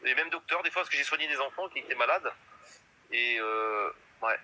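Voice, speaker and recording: A man's voice: mid-range voice, brisk, sharp tone, low-quality recording. Boastful rambling man in his fifties. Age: 50s. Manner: boastful, rambling with many hesitations. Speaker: guest in a live stream chat. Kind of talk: casual chat.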